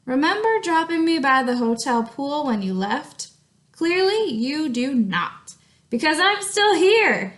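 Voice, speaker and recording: A woman voicing an indignant, abandoned female crayon from a storybook, with dramatic, drawn-out reproach. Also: high voice, sing-song, a bit bright, full character voice.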